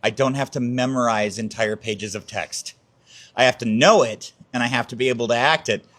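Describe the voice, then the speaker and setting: A man's voice: mid-range voice, normal speed, a bit bright. An older man, a community theatre actor, chatting casually as an interview guest.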